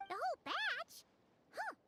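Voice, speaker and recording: A woman's voice: very high-pitched, very animated, unhurried, very noisy, full character voice. A woman voicing a small, cheeky, curious childlike girl companion in a video game, chatty and exclaiming. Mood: curious, teasing. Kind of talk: English video game voice acting.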